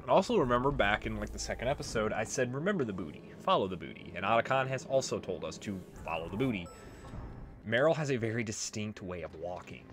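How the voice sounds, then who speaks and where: A man's voice: fairly low pitch, lively intonation, fast, faint music behind. A man giving casual, informal let's play commentary as the host of a gaming video.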